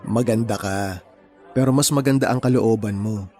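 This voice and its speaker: Actor as gentle, principled young man, radio drama. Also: fairly low pitch, moderate pace, faint music behind, some chatter behind, natural voice. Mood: gentle, earnest. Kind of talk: Tagalog radio romance drama acting.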